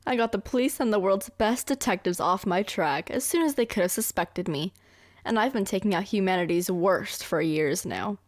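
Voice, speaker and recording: A woman's voice: mid-range voice, normal speed, no echo, studio quality, close to an everyday voice. A woman playing a smug, brilliant, manipulative young serial killer girlfriend in an anime roleplay, boastful and coaxing. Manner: boastful, coaxing, self-satisfied.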